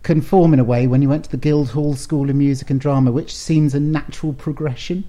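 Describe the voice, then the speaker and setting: A man presenting a hospital radio interview, asking measured questions. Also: fairly high pitch, steady tone, dark tone. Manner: measured, scripted-sounding questions.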